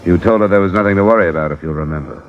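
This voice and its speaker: A man's voice: low voice, rapid-fire, slight background noise, natural voice. An actor playing a blunt, probing investigator who narrates his case, dry and direct, in a 1950s radio detective drama. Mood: blunt, confronting. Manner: dry, direct, matter-of-fact. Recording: dull lo-fi old radio broadcast.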